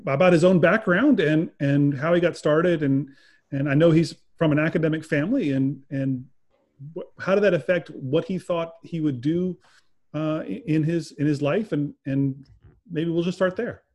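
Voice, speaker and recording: A man's voice: fairly high pitch, moderate pace, a little mellow. A man introducing a guest and asking questions in an informal virtual interview, often restarting his sentences.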